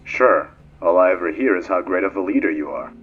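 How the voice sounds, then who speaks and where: A man's voice: sits low, animated, fairly quick, husky, narrow, tinny audio. A man playing a cocky, ambitious space freighter co-pilot in a game fan dub, talking in a wry, offhand, needling way. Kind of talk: dramatic game fandub dialogue.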